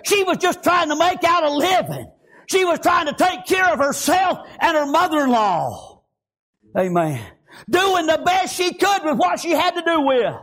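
A man preaching a revival sermon, fervent and often shouting, with constant "praise God" and "amen". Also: extremely high pitch.

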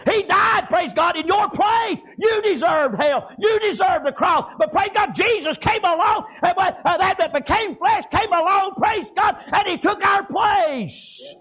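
A male preacher, delivering a shouted, rhythmic sermon full of "praise God" and "amen.". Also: very high voice, lively intonation, measured pace, few pauses.

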